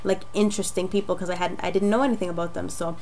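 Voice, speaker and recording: A young woman from Jamaica, raspy from a cold, telling her personal story in a chatty, rambling way with many fillers. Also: on the low side, fairly even intonation, rapid-fire, darkish tone, a little hiss or hum. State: getting over a cold. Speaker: vlogger. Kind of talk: casual personal testimony to camera.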